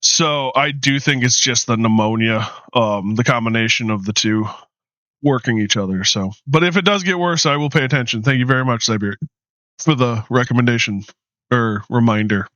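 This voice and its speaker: A tired man streaming a game, chatting casually in a low-key, rambling way, his voice slightly hoarse. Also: normal speed, pushed and bright, no room sound, low-quality recording. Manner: low-key, rambling with frequent ums and uhs. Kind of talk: casual live-stream chat.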